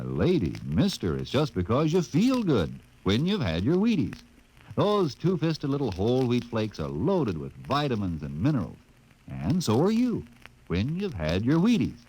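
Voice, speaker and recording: Man, vintage radio ad, folksy emphatic salesman, extremely lively intonation, mid pitch. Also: slight background noise, poor audio. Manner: folksy, chummy, emphatic selling. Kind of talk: 1950s radio commercial.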